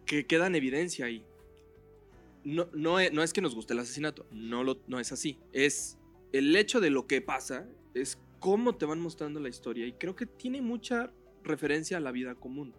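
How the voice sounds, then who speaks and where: A man with a Mexican accent, co-hosting a casual book chat, explaining his points in a measured way. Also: fairly high pitch, lively intonation, a bit bright, faint music behind. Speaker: podcast co-host.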